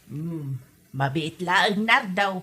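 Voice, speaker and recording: Bright, husky Ilocano village woman acted by a woman. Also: lowish voice, animated, takes it slowly, exaggerated voice. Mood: brisk, casual. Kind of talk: Ilocano comedy radio drama acting.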